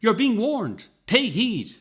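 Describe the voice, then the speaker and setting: A man's voice: high-pitched, big pitch swings, slow pace, fairly bright tone, husky, voice pushed up, full character voice. A man voicing a scolding, zealous woman with a pious, declamatory manner in a story reading. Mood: zealous, warning. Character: shrill, scolding, zealous woman brandishing a cross.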